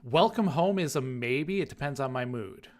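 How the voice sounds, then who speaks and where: A man speaks casually as a podcast regular. His voice has a medium pitch, and his talk is conversational and informal. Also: lively intonation, normal speed, a little mellow. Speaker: podcast third chair and producer. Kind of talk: casual chat.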